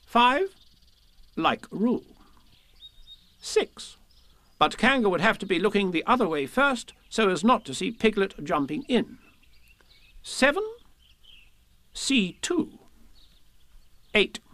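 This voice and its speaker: A man's voice: high-pitched, big pitch swings, slow, pauses often, a bit bright, dry recording, pitched above the performer's own voice, full character voice. A man voicing a self-important, scheming rabbit from a storybook in a pompous, declaiming manner. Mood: proudly declaiming.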